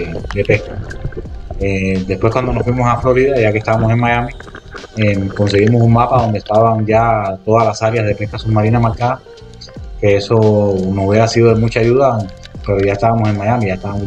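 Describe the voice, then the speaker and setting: A man's voice: on the low side, steady tone, a little fast. A man narrating his own diving and spearfishing footage in a casual voice-over, rambling and speaking straight to his viewers. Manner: rambling, restarts often, addresses viewers directly. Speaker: spearfishing vlogger. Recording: voice-over with loud background music.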